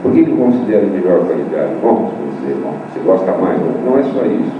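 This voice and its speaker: A man's voice: low-pitched, sing-song, fast talker. An older male professor answering a student in a debate, emphatic, with repeated points and rhetorical questions. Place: large hall at a university meeting. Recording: distant echoey room mic, dull and noisy.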